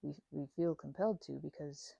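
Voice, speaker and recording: Rambling woman with a low, creaky voice. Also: brisk, mellow, dry recording. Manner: rambling, self-interrupting, informal and conversational. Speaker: ASMR roleplay performer playing a chatty best friend. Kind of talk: ASMR roleplay, casual one-sided friendly chat.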